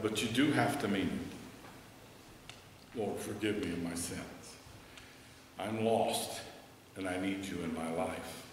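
Earnest middle-aged American pastor preaching. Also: male voice, fairly low pitch, slight background noise. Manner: earnest, emphatic preaching, softening to a hushed prayer. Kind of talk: sermon and altar call. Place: church sanctuary, standing at a pulpit. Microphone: headset mic. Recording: echoey church hall, from a distance.

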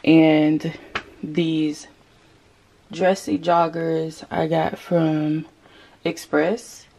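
A woman's voice: deep voice, little pitch movement, vocal fry, dark tone. Chatty woman hurrying through a haul. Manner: chatty, rushed, addresses viewers as y'all. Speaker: vlogger. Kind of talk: casual clothing haul chat. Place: walk-in closet at home. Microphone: camera set up across the closet. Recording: hissy home video.

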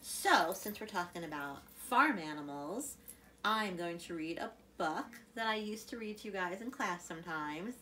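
Cheery middle-aged lady teacher on her couch, singsongy story reading, bright clear voice. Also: on the low side, normal speed, a little hiss or hum. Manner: sing-song, animated storybook reading with animal sounds; gentle, warm teacher talk to young children. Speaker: preschool teacher. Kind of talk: reading a picture book to children. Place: living room, seated on a couch. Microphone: camera in front.

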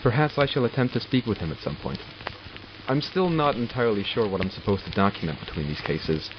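A man playing a curious, wry doctor in an audio drama, dictating log entries in a measured, formal, dryly sardonic way. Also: fairly low pitch, very animated, noisy background. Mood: clinical, puzzled. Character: male doctor logging studies of supernatural captives, curious and wry. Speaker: voice actor. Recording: dull lo-fi recording with music behind. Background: music.

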